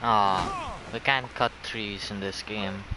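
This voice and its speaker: A man's voice: fairly low pitch, fairly even intonation, slow, background noise. A man giving casual, sarcastic commentary while he plays a video game. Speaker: gamer commenting on his play.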